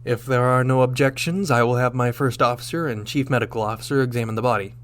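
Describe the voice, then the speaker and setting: An actor playing a firm, wryly sardonic starship captain in a sci-fi audio drama, speaking crisply. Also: male voice, medium pitch, fast, fairly bright tone, close to an everyday voice. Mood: composed. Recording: clear background noise with hum.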